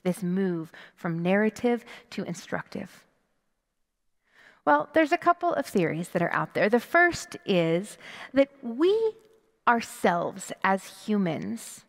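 A woman rabbi in her thirties delivers a sermon with a creaky edge to her mid-pitched voice. She is conversational and expressive, leaning on pauses and rhetorical questions. Her headset mic carries the long reverb of a large sanctuary. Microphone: headset microphone. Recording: long reverberant hall echo.

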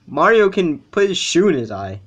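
A man's voice: high-pitched, big pitch swings, moderate pace, very noisy. A young man hosting a reaction video in a casual, chatty style. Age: young adult.

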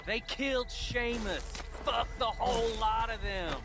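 An actor playing an angry, excitable outlaw henchman in a Western game. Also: extremely high pitch, unhurried, background noise. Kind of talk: game cutscene dialogue.

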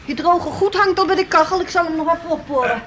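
Fussy scolding wife, high voice, noisy old radio play. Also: female voice, fast talker, a bit bright. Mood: concerned, fussing. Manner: homely scolding. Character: caring, fussing, sceptical beachcomber's wife. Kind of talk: 1960s radio play acting.

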